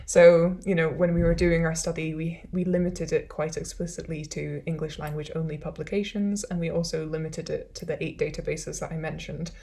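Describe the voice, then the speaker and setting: A woman's voice: deep voice, level tone, a little fast, creaky, hardly any pauses, warm tone. A woman with a Scottish accent, a social science academic answering questions thoughtfully and self-deprecatingly in a conversational way after a talk. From Scotland.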